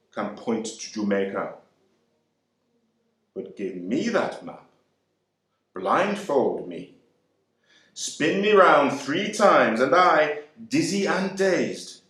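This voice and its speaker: A man performing a dramatic literary monologue in a measured, deliberate, emphatic way. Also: medium pitch, fairly bright tone. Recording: very echoey room.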